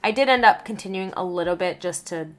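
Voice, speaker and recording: A young woman who runs a small skincare business, chatting candidly in a vlog voiceover, her voice swinging lively in pitch and loudness. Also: brisk. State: just over laryngitis. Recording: voiceover with faint background music.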